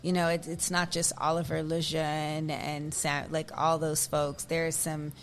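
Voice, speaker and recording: A woman's voice: low voice, flat delivery, unhurried, soft background music. A woman co-hosting a radio show, telling history in an enthusiastic, storytelling way. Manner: storytelling, enthusiastic, sharing facts. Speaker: radio co-host. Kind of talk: casual radio chat.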